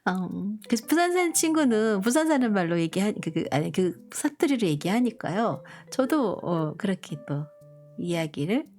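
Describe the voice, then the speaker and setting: A middle-aged woman from Korea who grew up partly in Busan, chatting slowly and gently to viewers with many pauses and fillers. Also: mid-range voice, sing-song. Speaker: live stream host. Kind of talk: casual live chat to viewers. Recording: soft background music.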